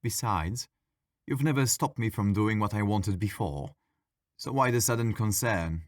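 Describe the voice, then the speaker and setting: A man's voice: fairly low pitch, unhurried, no echo, clean studio sound, lightly acted. A man playing a petulant, mischievous ghost who haunts a library, talking in a sassy, casual, complaining way in an ASMR audio roleplay. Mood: defensive, teasing.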